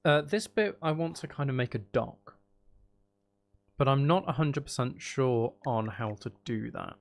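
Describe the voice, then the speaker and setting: A man's voice: medium pitch, measured pace. A man thinking aloud as he plays a building game, chatting casually with musing, playful asides. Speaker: streamer playing a building game.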